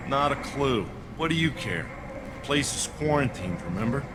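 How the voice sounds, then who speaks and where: A man voicing a gruff, jaded alien mercenary guard in a video game, brusque and sardonic. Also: medium pitch, very animated, normal speed, close to an everyday voice. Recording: noisy street ambience behind voice.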